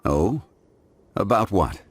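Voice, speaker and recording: An actor playing a calm, solemn ancient god in a video game, speaking in a measured, formal, reflective way. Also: male voice, fairly low pitch, slight background noise. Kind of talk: dramatic video game voice acting.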